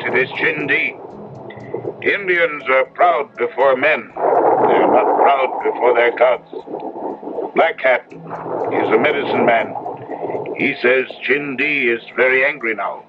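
Radio drama part: steady, grave ranch foreman played by a man, medium pitch, dull vintage recording. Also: measured pace, close to an everyday voice. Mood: solemn, ominous. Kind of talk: old-time radio drama acting. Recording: dull, noisy old radio broadcast recording.

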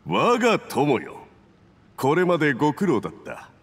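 Smug scheming villain, lively intonation. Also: male voice, a little high, normal speed, long reverb tail. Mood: patronizing praise. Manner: smug, patronizing, commanding. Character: scheming, domineering Fatui agent toasting his accomplice. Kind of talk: anime video game dialogue.

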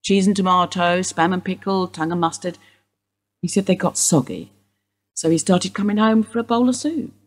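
A woman's voice: fairly low pitch, normal speed, close to an everyday voice. A woman acting a wistful widowed housewife questioned by police in a radio play, rambling through memories. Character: widowed English housewife, Mrs Steele, wistful suspect.

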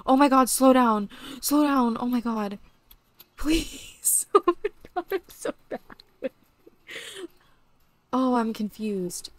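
A young woman with a voice going hoarse, chatting casually and excitably while she plays a horse game at home. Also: high voice, slow, pauses often, no room sound. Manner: chatty, excitable, lots of "like" and "literally". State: losing her voice. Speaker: gaming YouTuber. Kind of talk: casual gameplay commentary.

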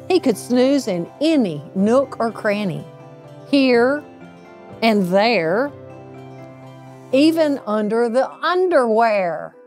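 A middle-aged woman reading a children's bedtime story aloud, with deliberate, drawn-out emphasis on phrases. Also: a little high, a little mellow. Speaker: storybook reader, local insurance agent.

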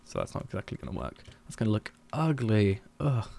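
A man's voice: fairly low pitch, very animated, brisk, creaky, slight background noise. A man streaming a building game, thinking aloud in a hesitant, musing way as he plans. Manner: musing, hesitant, planning out loud.

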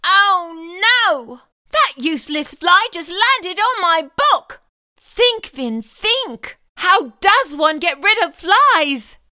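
A dramatic, fussy young male frog who frets over every mess, as a woman puts him on. The voice sits very high and swings widely, with a bright, sharp edge and slow, drawn-out delivery. It is recorded dry and close, with no room sound. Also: very animated, no echo, exaggerated voice. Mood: frantic. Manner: dramatic, fretful, exclaiming. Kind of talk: storybook read-aloud acting.

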